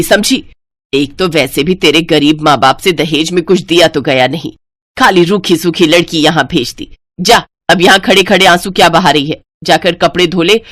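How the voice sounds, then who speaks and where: A woman's voice: on the low side, fairly bright tone, dry recording. Woman playing a nasty mother-in-law, scolding, greedy, insulting, storybook drama, dry sound. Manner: harsh taunting and insults.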